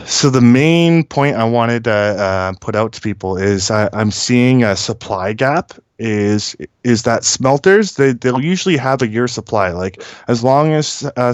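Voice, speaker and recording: Male guest commentator, deep and clean-voiced, informal and opinionated, hesitant phrasing, plenty of ums. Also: clean tone, no echo. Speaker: guest caller, mining industry technician. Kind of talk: informal explanatory commentary on industry news.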